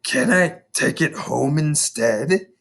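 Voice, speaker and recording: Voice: medium pitch, expressive, slow pace, vocal fry, fairly bright tone, heavily acted. A nervous schoolboy from a children's storybook as a reader acts him out. He mumbles cautiously, sounding wary and a little bemused. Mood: timid, wary.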